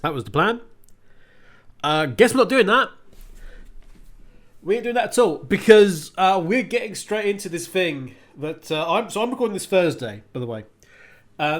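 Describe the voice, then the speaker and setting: A man hosting a radio show, ranting in a frustrated, sweary way with lots of fillers, his voice congested with a cold. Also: a little high. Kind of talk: ranting podcast monologue.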